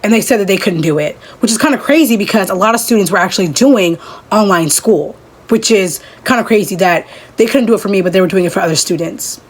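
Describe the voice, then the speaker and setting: An eighteen-year-old woman chatting casually as a beauty vlogger, rambling through a makeup routine with animated bursts. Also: medium pitch, fast talker, breathy edge. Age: young adult. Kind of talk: casual makeup tutorial chat.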